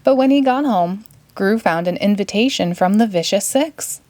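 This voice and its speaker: A woman narrating a children's story in a clear, expressive read-aloud manner. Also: mid-range voice, normal speed, no echo. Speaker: storybook reader.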